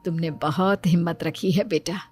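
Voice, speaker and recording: A woman's voice: lowish voice, fairly even intonation, normal speed, a little mellow, slightly rough. A woman voicing a caring, fussing older mother-in-law in a moral story, with a concerned, motherly manner. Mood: reassuring. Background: background music.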